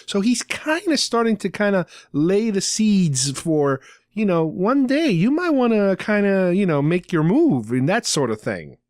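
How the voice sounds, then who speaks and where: A man's voice: high-pitched, very animated, unhurried, darkish tone, no room sound, clean studio sound. A man hosting a show, explaining things conversationally in a casual fan discussion with a co-host. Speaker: podcast host.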